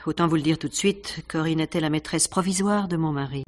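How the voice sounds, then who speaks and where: An actress playing a composed, worldly theatre wife in a French radio mystery, answering questions with dry, knowing candour. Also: female voice, sits low, a little fast, natural voice. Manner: matter-of-fact, knowing, dryly candid.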